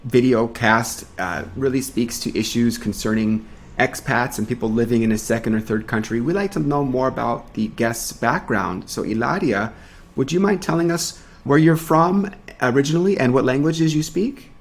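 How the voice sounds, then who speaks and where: A man's voice: mid-range voice, moderate pace. A man co-hosting a video podcast, talking in an earnest, reflective way and reading a guest introduction. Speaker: podcast co-host.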